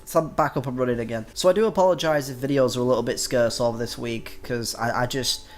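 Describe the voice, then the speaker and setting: A man's voice: medium pitch, fast. A man in his twenties with a head cold, giving casual let's-play commentary. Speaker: gaming YouTuber. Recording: music behind. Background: background music.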